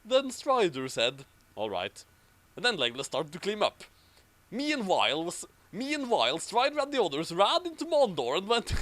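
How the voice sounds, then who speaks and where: Lively, fairly high-voiced man reading aloud. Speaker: reader and commentator. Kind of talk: amateur story reading with mocking commentary.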